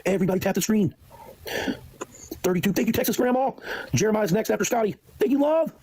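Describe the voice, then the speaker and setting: A man with a voice that sounds like Kermit the Frog, hosting a phone livestream and rattling off shoutouts to viewers. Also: high-pitched, lively intonation, breathy edge. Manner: rapid shoutouts, urging viewers. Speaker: livestream host. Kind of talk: livestream hype.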